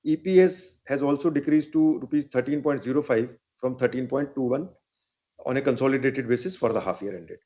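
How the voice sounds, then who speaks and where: Guy reading out company figures, dark mellow voice, muffled call audio. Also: mid-range voice, brisk. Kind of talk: reading financial results.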